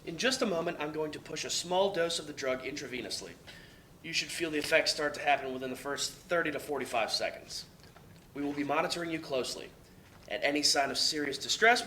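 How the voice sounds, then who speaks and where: Bright, businesslike male medic, played by an actor. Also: fairly high pitch, slight background noise. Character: businesslike male medical professional running a drug trial. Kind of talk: dramatic play reading.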